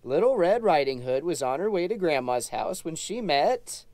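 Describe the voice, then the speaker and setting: A woman's voice: low voice, sing-song, moderate pace, mellow, no room sound. A woman playing a prim, easily exasperated puppet dog reading a story aloud in a children's puppet show. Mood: patient storytelling.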